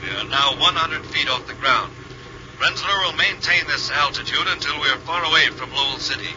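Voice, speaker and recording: A man's voice: fairly high pitch, a little fast, close to an everyday voice. Male villain played by an actor, commanding, menacing gang boss, 1950s radio acting, muffled noisy old recording. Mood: gloating threat. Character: scheming, murderous male villain, coldly confident gang boss.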